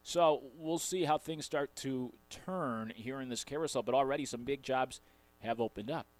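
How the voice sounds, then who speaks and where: A man's voice: medium pitch, normal speed, no echo. An American man from Connecticut hosting a sports radio show, talking in a rambling, informal monologue. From Connecticut, USA. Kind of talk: conversational sports talk monologue. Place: radio studio.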